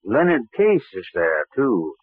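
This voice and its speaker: Folksy New islander, lively pitch. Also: male voice, a little slow, husky, heavily acted. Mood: dry, folksy. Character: folksy, laconic male island selectman and boatman. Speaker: actor. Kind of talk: 1940s radio drama acting. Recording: narrow old radio recording.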